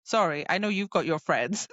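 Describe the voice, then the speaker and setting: Low-voiced woman, chatty podcast co-host. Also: lively intonation, normal speed, a bit bright, no echo, highs cut off. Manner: chatty, frequent "like" asides. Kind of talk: casual book discussion.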